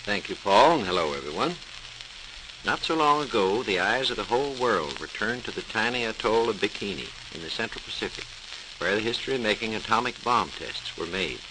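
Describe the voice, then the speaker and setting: Male science presenter, fairly low, animated pitch, scripted 1940s radio narration, crackly. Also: a little fast. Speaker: research engineer presenting a science program.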